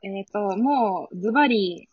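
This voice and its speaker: A woman's voice: medium pitch, lively intonation, unhurried, slightly rough, no echo. A woman chatting casually as a guest in a cast talk. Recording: muffled lo-fi audio, dry.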